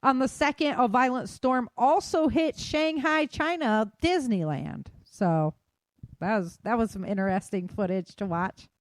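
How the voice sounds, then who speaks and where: A woman's voice: fairly high pitch, a little slow, no room sound. Chatty informal woman podcast host, dry sound. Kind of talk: casual news roundup of world weather and disasters.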